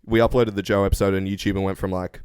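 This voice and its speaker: Fast-talking guy hosting a podcast, low smooth voice, dry mic. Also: little pitch movement, darkish tone, dry recording. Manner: upbeat, runs the show. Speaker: podcast host. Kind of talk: casual podcast chat.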